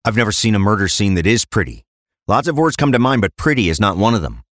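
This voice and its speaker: A man acts out a middle-aged police inspector in a crime audiobook. His voice is low and his way of talking is plain and dry. The recording is close, with very little room sound. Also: expressive, fast, fairly bright tone, no room sound. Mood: weary, blunt. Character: weary forty-two-year-old police inspector, gentle father, blunt cop.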